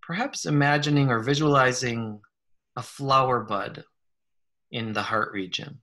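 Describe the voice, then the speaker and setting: A man leading a guided meditation in a gentle, unhurried manner, leaving long pauses between short phrases. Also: mid-range voice, steady tone. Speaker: guided meditation leader, psychologist. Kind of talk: slow guided meditation, soothing instruction.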